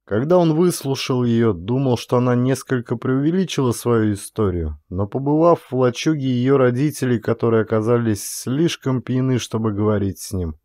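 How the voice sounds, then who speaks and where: A man's voice: mid-range voice, normal speed, few pauses, dark tone, no echo, studio quality. A man reading an audiobook as dramatic scripted narration.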